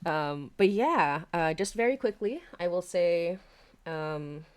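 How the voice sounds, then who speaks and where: Giggly woman hosting a livestream, lowish voice. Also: expressive, slow, clean tone. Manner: giggly, exclamatory. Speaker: podcast creator and stream host. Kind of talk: casual livestream chat, reading out audience comments.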